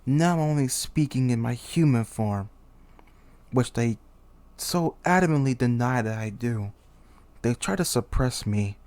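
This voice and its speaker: A proud, humiliated demon king, played by a man in a theatrical character monologue. His voice sits at a medium male pitch and is recorded dry, with hardly any room. He is exasperated and self-pitying, with lofty phrasing that slips toward the plainly human. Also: darkish tone, no room sound, lightly acted. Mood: weary, wry. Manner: theatrical, exasperated, sighing, lofty speech slipping into slang. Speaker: voice actor. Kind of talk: dramatic character monologue for a voice reel.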